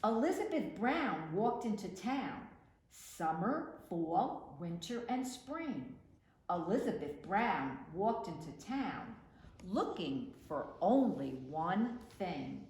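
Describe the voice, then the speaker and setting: A woman reading picture books aloud for children in an expressive, deliberate storytelling delivery, recorded in a roomy space. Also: on the low side. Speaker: children's librarian, storytime reader. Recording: roomy echo with faint background noise.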